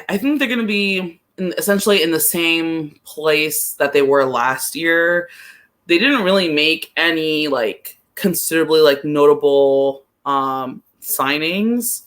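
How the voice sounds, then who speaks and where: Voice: mid-range voice, a bit bright. A person chatting casually about soccer as a podcast analyst, thinking aloud and leaning often on the word "like". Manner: hesitant, thinking aloud, frequent use of "like". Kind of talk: casual chat, soccer commentary.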